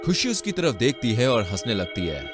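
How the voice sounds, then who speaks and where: A male narrator tells a spooky comic tale in a dramatic, scripted style. His medium-pitched voice moves in lively swings, with music clearly playing behind. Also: a little fast. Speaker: story narrator.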